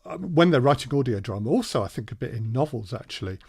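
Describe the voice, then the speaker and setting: A man's voice: mid-range voice, lively intonation, fast, gravelly, a little mellow, breathy edge, no echo, studio quality. A man co-hosting a conversational workshop, talking discursively and building up to his questions. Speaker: workshop co-host and interviewer.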